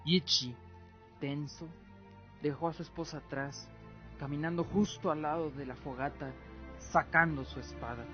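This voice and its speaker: Higher-pitched male drama narrator, muffled. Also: fairly even intonation, normal speed. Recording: muffled audio with music. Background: faint music.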